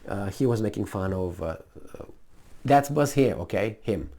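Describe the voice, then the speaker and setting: A man with a fairly low voice and a Romanian accent talks through the news as a commentator. His delivery is casual and unscripted, blunt and emphatic. He rambles into asides and repeats phrases to drive them in. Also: lively intonation, normal speed, vocal fry, dark tone. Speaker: political commentator.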